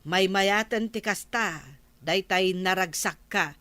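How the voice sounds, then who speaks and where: Casting: actress as nagging, practical Ilocano housewife, bright forceful voice, close dry studio sound, for audio drama. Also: female voice, medium pitch, takes it slowly, no room sound. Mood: chiding. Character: nagging, practical village wife minding household costs. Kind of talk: Ilocano radio-style audio drama acting.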